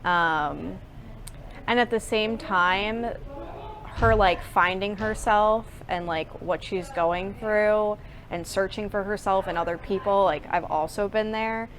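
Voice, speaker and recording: A woman's voice: mid-range voice, slow pace, fairly bright tone, slight background noise. An American woman from New Jersey, a stage actor, talking in a cast interview. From New Jersey, United States. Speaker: musical theatre actor.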